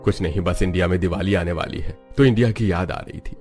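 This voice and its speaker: Aging cartoon father, played by a man: low voice, homesick and stern head of the house, music behind. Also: a little fast, a little mellow. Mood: wistful. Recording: background music under the voice.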